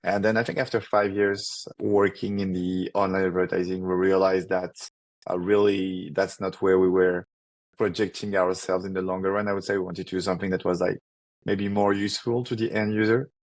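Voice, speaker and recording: French-accented man, very low flat voice. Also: no room sound. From France. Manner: fast, filler-heavy, restarts often. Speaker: startup founder guest. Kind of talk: casual interview answers.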